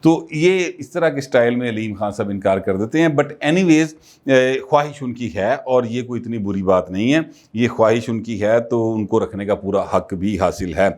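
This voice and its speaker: Guy talking politics to camera, casual, hesitant fillers, average pitch. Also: a little mellow. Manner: conversational, many filler pauses. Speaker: political commentator. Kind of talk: solo political commentary.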